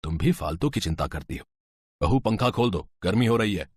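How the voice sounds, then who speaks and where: A man's voice: fairly low pitch, normal speed, husky, no echo, clean studio sound. A man voicing an easygoing older father-in-law in a cartoon story. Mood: coaxing. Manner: relaxed, indulgent.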